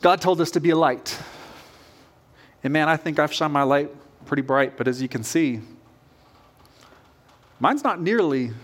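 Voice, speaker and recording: A man in his forties preaching a sermon as a pastor in an informal, conversational storytelling manner. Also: fairly high pitch. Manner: informal, self-questioning storytelling.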